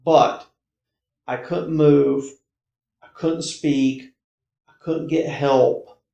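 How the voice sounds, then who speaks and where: Gravelly American man, halting storyteller. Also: medium pitch, fairly even intonation, warm tone. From North Carolina, United States. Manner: halting, emphatic, repeats short phrases. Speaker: podcast guest recounting personal paranormal experiences. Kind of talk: casual conversational storytelling. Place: indoor room, in-person conversation. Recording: roomy, echoey indoor recording.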